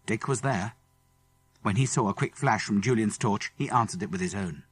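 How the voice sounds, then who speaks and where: A man's voice: on the low side, moderate pace, a little hiss or hum. A man with a British accent reading a children's adventure story expressively, building suspense as he narrates. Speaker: audiobook narrator.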